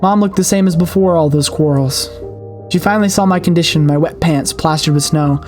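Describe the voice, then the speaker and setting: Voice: medium pitch, brisk, a little mellow, faint music behind. A streamer reading a horror story aloud with dramatic expression and the occasional stumble.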